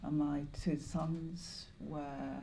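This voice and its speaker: An older English woman in her seventies or beyond, reminiscing in an interview in a measured, halting way with pauses. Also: sits low, level tone, creaky, mellow, slight background noise. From Lancashire, northwest England. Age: 70s or older. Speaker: interviewee, longtime community volunteer. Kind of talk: oral history interview, reminiscing.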